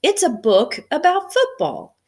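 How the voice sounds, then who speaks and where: Lady doing a curious excited kid, a boy from a picture book, pitched up high. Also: a little slow, exaggerated voice.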